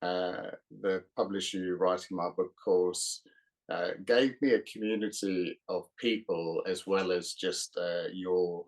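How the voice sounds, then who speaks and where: A middle-aged man from South Africa with a South African accent, a guest author talking in a podcast interview, pausing often with fillers. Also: low-pitched, steady tone, poor audio. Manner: hesitant, many uh fillers.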